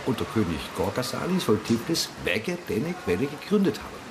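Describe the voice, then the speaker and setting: Ein Schauspieler spielt in einem Hörspiel einen redseligen, trocken-ironischen Ermittler. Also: male voice, mid-range voice, sing-song, fairly quick. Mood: chatty. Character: chatty, wry male sleuth on a stakeout. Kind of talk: radio play dialogue. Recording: noisy ambience behind.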